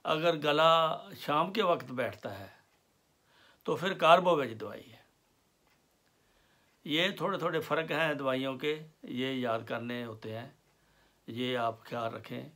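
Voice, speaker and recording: A man lectures on homeopathic remedies at an unhurried crawl. His voice carries a gravelly fry, and he takes his time, filling gaps with soft hesitations as he lists symptoms. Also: mid-range voice. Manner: slow and deliberate, with pauses and fillers. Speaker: homeopathy teacher. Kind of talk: slow explanatory lecture.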